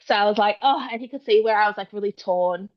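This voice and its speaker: A woman's voice: medium pitch, fast. Chatty Australian woman, slightly muffled. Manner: chatty, many fillers and quoted asides. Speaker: guest author. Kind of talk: casual interview chat.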